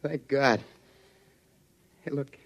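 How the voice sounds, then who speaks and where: A man's voice: mid-range voice, very slow, lo-fi sound. A man acting in a 1950s radio drama as an exhausted, shaken test pilot, plain and earnest in manner. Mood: pleading. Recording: 1950s radio broadcast recording.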